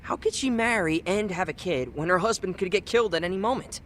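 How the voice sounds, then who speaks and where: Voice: mid-range voice, fast talker, fairly bright tone, a little hiss or hum, close to an everyday voice. An actor playing a cheeky but earnest teenage boy in a video game dub, talking in offhand, youthful slang. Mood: subdued, matter-of-fact.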